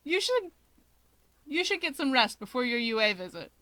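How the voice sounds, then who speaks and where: Casting: cheerful young man acted by a woman, high-pitched, teasing little-brother energy for fiction narration. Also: very animated, moderate pace, pushed and bright, dry recording, heavily acted. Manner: chirpy, teasing.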